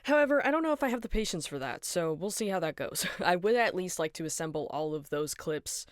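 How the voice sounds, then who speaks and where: A woman rambling casually about her fan project, tired and apologetic, hoarse and nasal from being sick, on a close home mic. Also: on the low side, animated, fairly quick, no echo. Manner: rambling, apologetic, tired, self-deprecating laughs. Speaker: fan animator and voice actor. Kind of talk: casual behind-the-scenes rambling.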